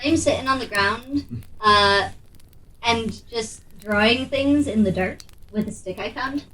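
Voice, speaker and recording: A woman role-playing casually in a tabletop game, talking in a hesitant, halting way. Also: fairly high pitch, sharp tone. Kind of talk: casual in-character role-play. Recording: distant mic, faint noise.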